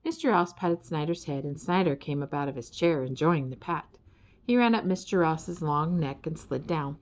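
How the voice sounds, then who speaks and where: A woman narrating a children's story in an expressive, scripted storytelling style. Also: low voice, moderate pace. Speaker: audiobook narrator.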